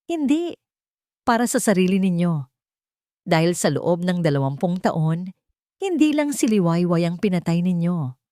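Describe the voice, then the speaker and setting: A woman voicing a wronged adult confronting a parent, bitter, deliberate and sarcastic, in an audio love story. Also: lowish voice, very animated, dark tone, no echo, clean studio sound. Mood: anguished anger. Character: wronged adult child, bitter, coldly accusing their mother.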